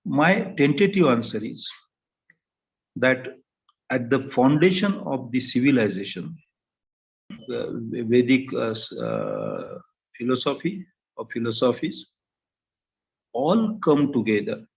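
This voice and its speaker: Older Indian English male panelist, gravelly voice, unhurried and halting delivery, muffled lo-fi recording. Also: medium pitch, wildly swooping pitch. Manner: halting, thoughtful, with many pauses and fillers. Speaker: panel speaker. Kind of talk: academic talk.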